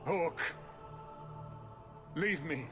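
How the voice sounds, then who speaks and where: An actor playing a grieving middle-aged city ruler who speaks in a slow, broken way. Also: male voice, on the high side, over music. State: grief-stricken.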